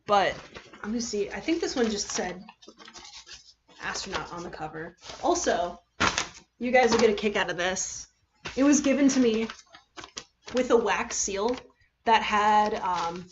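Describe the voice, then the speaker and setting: A woman chatting casually to viewers as a livestream host, halting and rambling, often trailing off and correcting herself. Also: mid-range voice, lively intonation, lo-fi sound, a little hiss or hum. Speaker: livestream host reading fan fiction.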